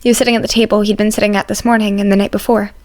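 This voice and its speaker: A woman's voice: medium pitch, flat delivery, rapid-fire. A woman reading fan fiction aloud as scripted story narration.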